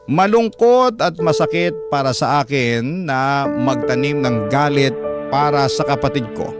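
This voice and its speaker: A man with a Filipino accent narrating a first-person story as a radio host, in measured phrases. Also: mid-range voice. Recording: prominent piano music underneath. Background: loud piano music.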